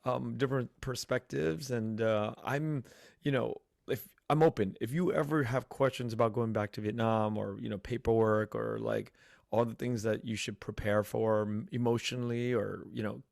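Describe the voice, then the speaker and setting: Gravelly-voiced relaxed male podcast host. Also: medium pitch, moderate pace, no room sound, studio quality. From United States. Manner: relaxed, friendly, many fillers and restarts. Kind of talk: casual interview chat.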